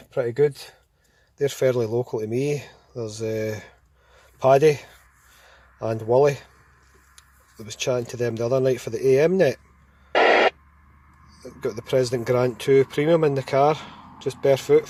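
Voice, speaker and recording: A man's voice: medium pitch, a little mellow, a little hiss or hum. A Scottish man with a Glasgow accent chatting casually and plainly on CB radio, recorded on a phone inside a parked car. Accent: Scottish, Glasgow area. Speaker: amateur CB radio operator. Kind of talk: casual chat. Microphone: handheld phone.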